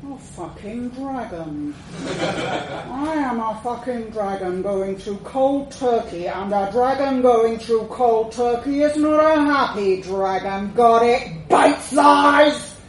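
A woman's voice: fairly high pitch, animated, very slow, mellow. A woman voicing an angry, menacing female dragon in a storytelling performance, snarling and threatening. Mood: seething. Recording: echoey room, distant mic, faint noise.

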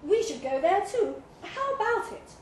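A man's voice: extremely high pitch, big pitch swings, measured pace, distant mic, pitched above the performer's own voice, heavily acted. A woman reading a story, voicing a keen, sociable male holiday companion. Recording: echoey room, clearly noisy live recording.

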